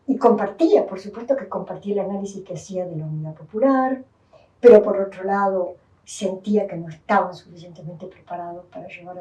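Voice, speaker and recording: An older woman with a Uruguayan Spanish accent tells her life story in an interview, pausing and restarting as she thinks. Her voice is mellow and dark. The recording is dull and roomy, with the mic set back from her. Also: mid-range voice. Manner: thoughtful, with many restarts and fillers. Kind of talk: reflective personal testimony. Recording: distant, dull, roomy sound.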